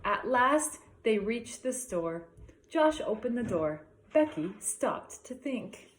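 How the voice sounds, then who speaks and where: Female primary teacher, expressive storybook read-aloud with slow emphasis and questions for young listeners. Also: on the high side. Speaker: elementary school teacher. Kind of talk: picture book read-aloud for children.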